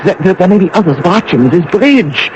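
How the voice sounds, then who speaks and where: An actor playing a conflicted, nervous young German soldier in a radio drama, halting and agitated, on an old noisy broadcast recording. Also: male voice, sits high, very animated, lo-fi sound. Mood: urgent pleading.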